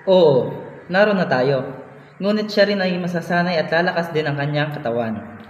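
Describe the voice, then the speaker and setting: A man's voice: a little high, expressive, moderate pace, natural voice. A man playing a firm father and head of the household in an amateur radio drama. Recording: distant, muffled, echoey hall, background noise.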